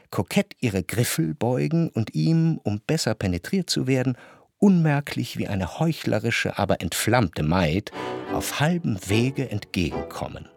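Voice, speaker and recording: Reflective radio-play narrator, lowish voice, dry studio sound, measured, deliberate, refined, observant. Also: male voice, vocal fry, a little mellow, slightly rough, no echo, full character voice. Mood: reflective, intent. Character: reflective male first-person narrator, observant, refined society memoirist.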